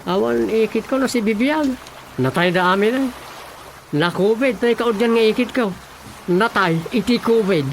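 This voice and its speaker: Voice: mid-range voice, moderate pace, close to an everyday voice. An actor with an Ilocano accent playing a wary, blunt villager, muttering hesitantly in a radio comedy drama. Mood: wary, doubtful. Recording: loud music bed behind voice.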